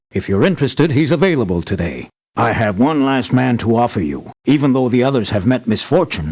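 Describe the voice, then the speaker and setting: Formal, dramatically expressive worried father. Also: mid-range voice. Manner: formal, earnest, dramatic delivery. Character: worried father running an island tree-processing compound, earnest and formal. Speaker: mission-briefing game character. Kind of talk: scripted game voice acting, briefing.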